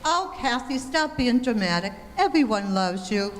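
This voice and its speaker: A woman playing a patient, placating 1940s housewife and mother who is gently firm, in a radio play. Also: fairly high pitch, animated, normal speed, slight background noise, lightly acted. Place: live stage performance in a hall. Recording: reverberant hall stage recording.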